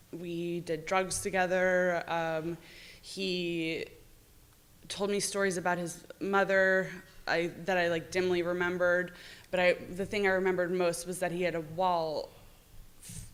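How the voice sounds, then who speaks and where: An American woman novelist talking informally about her own work, candid and rambling, often saying "like". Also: lowish voice. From New York City, United States. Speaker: novelist discussing own book. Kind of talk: informal author talk.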